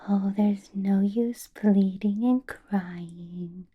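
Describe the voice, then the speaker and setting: A woman playing a doting yet menacing vampire boss in a breathy, whispered ASMR roleplay. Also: mid-range voice, narrow, tinny audio. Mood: cold, menacing. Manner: slow, breathy whisper with silky, drawn-out phrasing.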